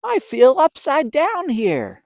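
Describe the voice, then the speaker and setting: Storybook creature: narrator doing a small young bird, high-pitched and squawky, swooping excitably. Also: slow pace, dry recording, clean studio sound, full character voice. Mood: keen, bewildered. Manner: squawky exclamations, high and loud. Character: small excitable young baby birds, wide-eyed. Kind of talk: children's storybook read-aloud.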